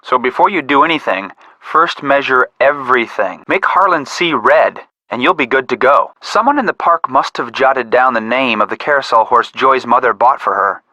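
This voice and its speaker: A man's voice: medium pitch, expressive, normal speed, few pauses, sharp tone, no room sound, lo-fi sound. An actor playing a sensible, matter-of-fact young male detective in scripted video game hint dialogue. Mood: sensible, instructive.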